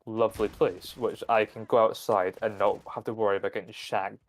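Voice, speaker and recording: A man's voice: lowish voice, brisk. A young man with a Scottish accent, a university student chatting on a live stream, ranting and swearing as he tells stories. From Scotland. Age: young adult. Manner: ranting, profane, animated storytelling. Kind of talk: casual live-stream chat.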